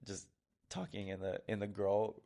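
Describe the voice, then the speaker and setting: A man's voice: on the low side, flat delivery, normal speed, dark tone. A man in his twenties from Hawaii, a guest in a casual interview, rambling with many fillers and restarts.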